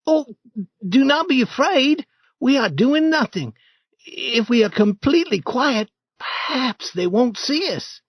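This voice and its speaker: A man voicing a frightened young boy, speaking in a high, hushed and urgent way. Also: very animated, measured pace, husky, dry recording, muffled recording, heavily acted.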